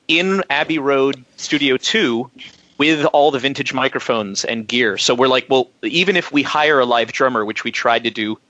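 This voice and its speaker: A man's voice: mid-range voice, moderate pace, bright, forceful tone, dry recording, dull audio. A man speaking as a musician guest in an interview, telling detailed, chatty anecdotes.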